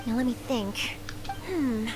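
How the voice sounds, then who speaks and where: A woman playing an eager, expressive young news reporter in an anime-style video game. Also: fairly high pitch, takes it slowly, background noise. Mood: flustered. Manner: expressive, bubbly, inquisitive.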